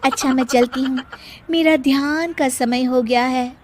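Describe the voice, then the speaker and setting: A woman's voice: high voice, fairly even intonation, unhurried, darkish tone. A woman voicing a cunning young daughter-in-law who poses as a pious ascetic in a Hindi cartoon story. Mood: smugly calm.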